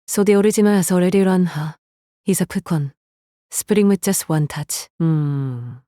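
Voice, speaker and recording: A woman's voice: lowish voice, normal speed, warm tone, dry recording, studio quality, close to an everyday voice. A woman voicing a cool, self-assured female mage, musing and sardonic in a nonchalant way. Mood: musing, wry.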